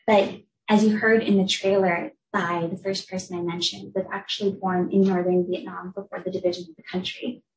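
A young woman from the United States giving an academic talk as a researcher, explaining in a clear, measured way. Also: fairly low pitch, flat delivery, a little hiss or hum. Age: young adult. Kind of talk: academic lecture. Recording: echoey room, voice from a distance.

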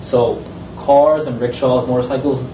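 A man, a student panelist, recounting a trip with many pauses and fillers. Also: mid-range voice, fairly even intonation, muffled recording. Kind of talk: casual panel talk. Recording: echoey room, distant mic, noisy.

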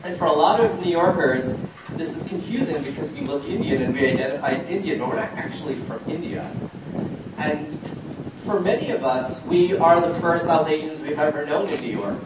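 A man's voice: a little high, animated, fairly quick. A man from Guyana speaking informally as a panelist at a community forum, addressing the audience directly in a conversational way. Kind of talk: informal public panel speech. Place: large echoey indoor event room. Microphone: distant microphone. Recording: very echoey, noisy, muffled, distant room recording.

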